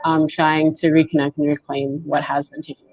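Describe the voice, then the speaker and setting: A woman in her twenties, speaking softly and haltingly as she tells her story on a video call panel. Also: low voice, little pitch movement, no echo. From Canada. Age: 20s. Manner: soft-spoken, halting and reflective. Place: indoors at home, on a video call. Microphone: wired earbuds with mic. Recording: dull lo-fi video call audio.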